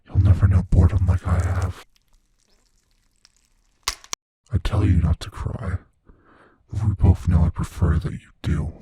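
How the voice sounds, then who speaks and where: A man voicing an ancient immortal monster in a horror ASMR roleplay, hushed and breathy, slow and menacing, world-weary yet savoring fear. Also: steady tone, slight background noise, natural voice. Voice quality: hushed, husky, breathy low voice. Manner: slow, menacing, philosophical, intimately taunting. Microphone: close studio mic, dry.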